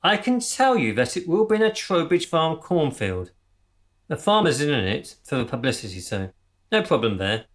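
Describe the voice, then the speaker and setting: Excitable, pompous crop-circle gang leader. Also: male voice, a little high, normal speed. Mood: pompous, businesslike. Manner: stammering and spluttering when worked up, otherwise pompous and precise. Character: earnest, easily flustered male crop-circle gang leader. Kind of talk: radio comedy drama acting.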